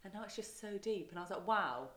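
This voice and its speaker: A woman's voice: mid-range voice, lively intonation, quick pace, fairly bright tone, echoey room. A podcast co-host, a woman, chatting casually, laughing often and telling rambling stories. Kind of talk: casual chat.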